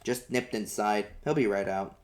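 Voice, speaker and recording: A man's voice: on the low side, moderate pace, lightly acted. A man plays a plucky young boy from a storybook. He is earnest and determined, with folksy turns of speech and a lifted, eager delivery. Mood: matter-of-fact.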